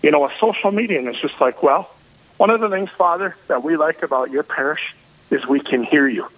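Energetic male pastor, big loud voice, higher pitch, radio call sound. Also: brisk, rough recording. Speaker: parish priest. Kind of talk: radio interview by phone.

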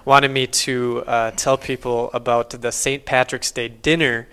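An American man with a smooth, clear voice at a middling pitch. He hosts radio in a casual, conversational style. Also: little pitch movement, measured pace, a bit bright. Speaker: radio host. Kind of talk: casual on-air chat.

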